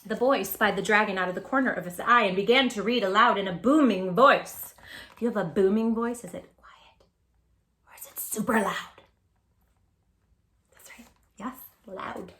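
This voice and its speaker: A woman reading a picture book aloud to young children, with dramatic emphasis, hushed asides and questions to her listeners. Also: mid-range voice, moderate pace, long pauses, roomy sound. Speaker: children's storytime host.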